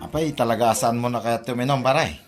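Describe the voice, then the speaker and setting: A man's voice: mid-range voice, fairly quick, loud music behind the voice, natural voice. A man with an Ilocano accent playing a needling drinking buddy who mocks his pal in a comedy drama podcast. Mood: mocking.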